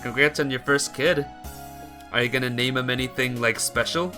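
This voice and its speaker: A man live-streaming a visual novel, reading the text aloud and breaking off for casual, halting asides to his viewers. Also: medium pitch, fairly even intonation, bright, forceful tone. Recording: music behind the voice.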